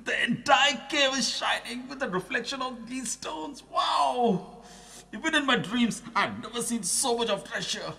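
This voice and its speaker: A man voicing a poor, excitable young woodcutter in an animated children's story, full of exclamations. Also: very high-pitched, a little slow, gravelly, slightly rough, heavily acted. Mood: amazed.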